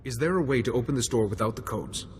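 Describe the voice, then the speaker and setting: A kindly, formal male sage from a video game, voiced by an actor, measured and diplomatic. His medium-pitched voice has a long reverb, with faint music behind. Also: lively intonation, lightly acted. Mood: serious.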